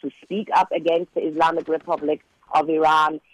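A man whose first language is Persian, speaking earnestly and in measured phrases as an interview guest making a prepared statement. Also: fairly high pitch, level tone, no echo, low-quality recording. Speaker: guest, relative of a political prisoner.

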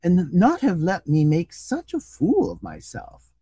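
A reader voicing a sulky, easily flustered young English gentleman in a storybook. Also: medium pitch, big pitch swings, moderate pace, husky, dry recording, studio quality, voice pushed up. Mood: sulky, embarrassed.

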